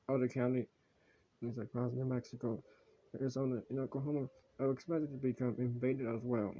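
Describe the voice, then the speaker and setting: A grave emergency alert announcer, played by a man, delivers urgent warnings in a mock broadcast. The delivery is halting and repetitive, with stumbles over the script. The sound is dull and lo-fi, with a middling pitch. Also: warm tone, natural voice. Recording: dull, lo-fi home recording.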